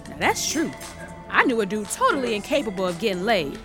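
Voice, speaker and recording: An actress playing a brash, persuasive American hustler in an audio buddy comedy, with slangy, swaggering, teasing talk. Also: female voice, medium pitch, big pitch swings, fairly quick, over music, natural voice. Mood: persuasive, cocky. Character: brash, persuasive woman hustler urging friend into crime.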